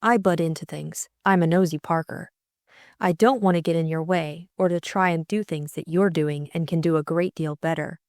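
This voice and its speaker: Woman doing a bold nosy young lady sleuth for an audiobook, eager and pushy, voice a bit low. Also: fairly even intonation, moderate pace, a little mellow, no echo, studio quality. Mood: earnest, determined. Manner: earnest, insistent. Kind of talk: audiobook character acting.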